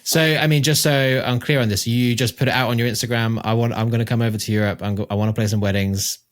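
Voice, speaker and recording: A man's voice: medium pitch, fairly even intonation, fast talker. Man hosting a podcast interview. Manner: checking his understanding, brief affirmations. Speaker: podcast host. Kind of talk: casual interview questions.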